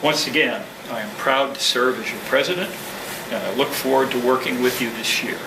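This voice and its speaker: A man gives a formal club president's address in a measured way. His voice sits at a middle pitch and comes from well back in an echoey meeting room. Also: creaky, recorded from a distance. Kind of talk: prepared speech read at a club meeting. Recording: echoey meeting room from afar, noisy hum.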